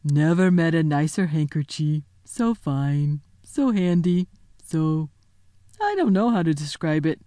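A woman voicing a huge, good-natured male giant who talks slowly in a polite rustic dialect. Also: low voice, animated, warm tone, dry recording, studio quality. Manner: slow, rustic dialect, deferential.

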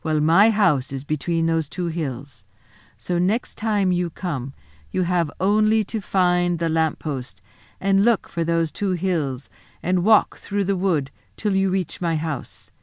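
A woman voicing a cold, scheming queen who speaks slowly and coaxingly. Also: on the low side, darkish tone, no room sound. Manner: slow, coaxing and commanding.

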